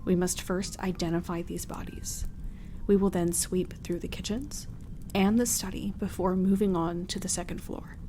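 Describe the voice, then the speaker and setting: A woman playing a composed, commanding blood hunter in a horror audio drama, speaking in a measured, businesslike way. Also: fairly low pitch, steady tone, warm tone, a little hiss or hum, close to an everyday voice. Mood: resolute. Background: music.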